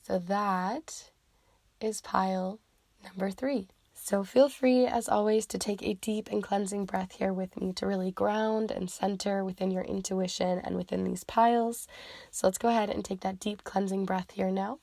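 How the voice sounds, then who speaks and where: A woman reading tarot cards for viewers, chatty and rambling with many fillers, her voice croaky and fading from a cold. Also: medium pitch, fairly even intonation, normal speed, dark tone, dry recording. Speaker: tarot reader. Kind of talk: pick-a-card reading intro.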